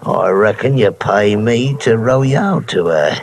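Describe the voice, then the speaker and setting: An actor playing a garrulous, hard-drinking old waterfront sailor who talks in folksy nautical slang, in a 1950s radio drama. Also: male voice, fairly low pitch, lively intonation, measured pace, vocal fry. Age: older. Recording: hissy old radio broadcast.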